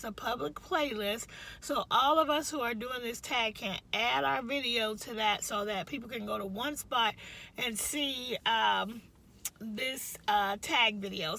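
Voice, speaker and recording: A woman's voice: fairly high pitch, sharp tone. A woman, chatting casually to camera from a parked car, rambling and laughing often, still getting over a cough. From Chicago, US Midwest. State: slight cough, recovering voice. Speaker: craft vlogger.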